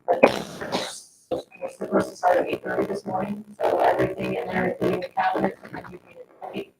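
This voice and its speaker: A man's voice: very deep voice, completely flat delivery, moderate pace. A man giving an informal staff update at a board meeting. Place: indoor town board meeting room. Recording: very noisy, echoey room, distant mic.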